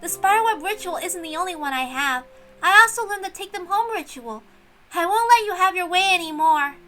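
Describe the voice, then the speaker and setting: A woman's voice: extremely high pitch, lively intonation, fairly quick, bright, forceful tone, full character voice. A woman voicing an earnest, stubborn young servant girl in a visual novel read-aloud, determined and defiant. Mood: cheerfully defiant. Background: music.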